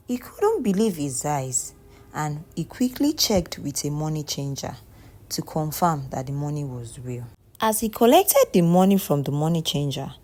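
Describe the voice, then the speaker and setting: A woman with a low voice tells a folktale. Her pitch swings widely as she shapes each line, and she keeps a steady, solemn manner with dramatic pauses. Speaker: storyteller. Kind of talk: scripted folktale narration.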